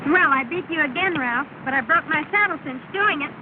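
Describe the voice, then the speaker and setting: A spirited young rider in an early talkie, bright, teasing and high-voiced. The thin, phone-like soundtrack carries a layer of hiss. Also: female voice, expressive, fairly quick. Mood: playful. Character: lively, playful young woman rider. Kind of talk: 1930s film acting. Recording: tinny, hissy 1930 film soundtrack.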